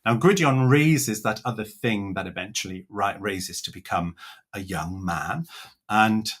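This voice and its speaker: A man from Wales, a native Welsh speaker, giving an online lecture and slowing down to stress key words. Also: lowish voice, a bit bright. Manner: measured, slows to stress words. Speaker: author and speaker.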